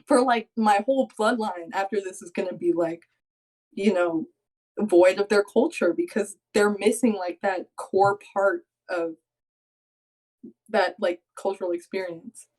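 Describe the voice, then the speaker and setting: Young woman podcast cohost, rambly, says like constantly, mid pitched voice. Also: unhurried, a little mellow, low-quality recording. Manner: rambling, heavy use of "like". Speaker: podcast co-host. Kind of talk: casual chat.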